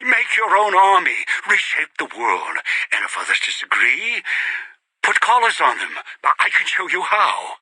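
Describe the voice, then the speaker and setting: An actor playing a bitter, obsessive, paranoid old man in a video game, with a strained raspy voice and theatrical delivery. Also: high voice, wildly swooping pitch, normal speed, pushed and bright, no echo, full character voice, poor audio. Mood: desperate bargaining. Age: older. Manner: theatrical, muttering to himself, swinging into desperate outbursts. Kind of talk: dramatic video game voice acting.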